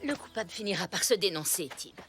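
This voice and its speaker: A woman's voice: fairly low pitch, expressive, fast talker, over music. A woman voicing a strict, protective mother in a cartoon, speaking firmly. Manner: firm, scolding.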